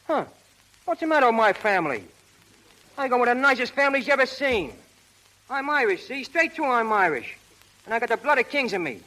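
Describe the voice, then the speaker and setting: Hot-tempered fight manager, slangy, bright forceful voice, rough but soft-hearted, 1930s radio drama. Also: male voice, extremely high pitch, sing-song, fairly quick, long pauses, exaggerated voice, rough recording. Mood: indignant. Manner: tough, slangy, ungrammatical street talk. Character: brash, hot-tempered Irish-American prizefight manager, rough but lovestruck. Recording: old 1930s radio broadcast recording.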